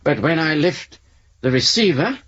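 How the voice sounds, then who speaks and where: An urbane English male novelist for 1970s radio drama. His husky voice swoops widely as he spins wry, mock-courteous remarks in long, ornate sentences. The recording is dull and lo-fi. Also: on the high side, lively intonation, normal speed, a bit bright. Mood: wry, reminiscing. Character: flippant, theatrical male novelist, ageing former communist.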